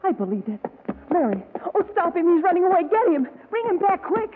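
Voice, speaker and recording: A woman's voice: very high voice, sing-song, a little fast. An actress playing Miriam, an earnest, sympathetic society woman in a 1940s radio drama, speaking emotionally. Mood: urgent. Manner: emotive, pleading. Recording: tinny old radio broadcast with long reverb.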